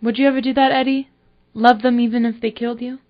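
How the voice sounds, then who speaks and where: A woman's voice: a little high, level tone, fast talker, natural voice. A woman playing a sweet, smitten young confidante of a detective in a noir audio drama. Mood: wistful. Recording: dull lo-fi recording.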